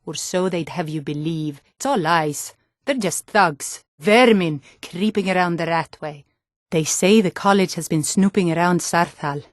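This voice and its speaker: A woman's voice: fairly low pitch, measured pace, dry recording, clean studio sound, close to an everyday voice. A woman voice actor playing a tough, wary female Nord city guard in a fantasy video game, with blunt, colloquial delivery. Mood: scornful. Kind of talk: scripted fantasy game dialogue in short standalone lines.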